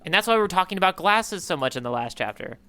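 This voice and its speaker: A man with a very bright, forward voice chats quickly and playfully as a podcast co-host. His pitch sits a little high and moves around freely as he spins joking theories. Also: expressive. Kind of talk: casual chat.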